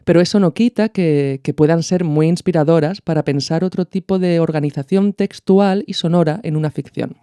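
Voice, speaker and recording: A man's voice: on the high side, moderate pace, hardly any pauses, dark tone, clean tone, no room sound. A man from hosting a podcast, explaining in a didactic, narrated style. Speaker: podcast host. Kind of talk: explanatory narration of radio art.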